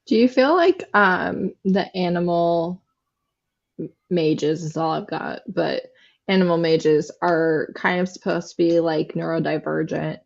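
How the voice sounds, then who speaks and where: A woman's voice: fairly low pitch, unhurried, gravelly. A woman chatting casually as a co-host in a book discussion, on slightly muffled sound. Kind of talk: casual chat.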